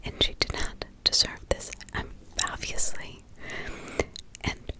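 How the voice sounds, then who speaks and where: A woman who is a parent, whispering close to the mic as she retells a crime story, soft, halting and emotional. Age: adult parent. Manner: halting, with many restarts and pauses. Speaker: true crime ASMR storyteller.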